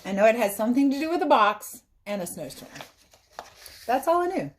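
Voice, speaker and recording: A woman reading a story aloud as a teacher, pausing to explain things simply to young listeners. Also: fairly high pitch, very animated, slight background noise. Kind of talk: read-aloud storytelling with explanatory asides for children.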